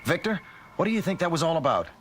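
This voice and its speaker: An actor playing a brisk, authoritative moonbase commander in a sci-fi audio drama, giving clipped orders under pressure. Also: male voice, a little high, big pitch swings, a little hiss or hum. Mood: brisk, puzzled. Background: loud music and effects.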